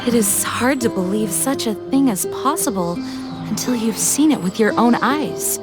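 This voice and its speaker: An actress playing a dutiful, polite young knight commander with a measured, formal delivery in an anime video game. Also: female voice, fairly high pitch, lively intonation, close to an everyday voice. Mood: earnest. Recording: music behind the voice.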